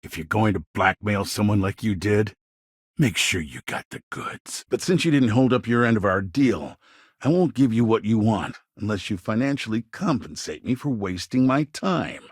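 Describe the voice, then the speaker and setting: An actor playing a slick, corrupt colonial politician in a video game, smooth-talking, smug and condescending with small evasive hesitations. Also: male voice, on the low side, animated, moderate pace, dry recording, studio quality. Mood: cold, condescending. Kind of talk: video game character dialogue.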